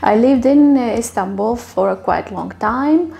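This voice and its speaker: A young woman from Bulgaria who grew up in Turkey, answering interview questions slowly with many fillers and restarts. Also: fairly high pitch, expressive, gravelly, warm tone. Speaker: interviewee, literary agent.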